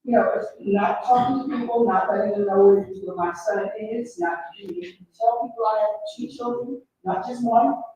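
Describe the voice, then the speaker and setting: A middle-aged or older woman giving heartfelt personal testimony at a community event, halting and emotional, with emphasis. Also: on the high side, warm tone. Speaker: mother speaking at a community event. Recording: very echoey live room, distant mic, faint noise.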